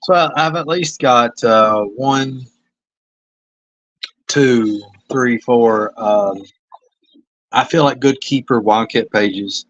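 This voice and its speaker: A man's voice: medium pitch, slow pace, long pauses, fairly bright tone, dry recording, lo-fi sound. A man with a Kentucky accent, a comic artist talking casually and self-critically about his pages on a livestream. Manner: rambling, self-critical, hesitant. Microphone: phone.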